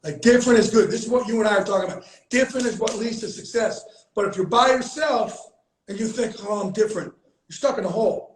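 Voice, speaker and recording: A man's voice: high-pitched, fast. A man hosting a casual heartfelt conversation, emphatic and repeating himself for emphasis, swearing freely. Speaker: host. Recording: echoey room.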